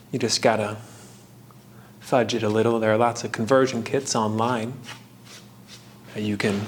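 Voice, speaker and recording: A man with a mid-pitched voice hosts a hobby craft video. He talks through the steps informally, in short halting phrases with jokey asides and bits of trivia. Clear ambient noise sits behind his voice. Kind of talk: casual tutorial narration with fun facts.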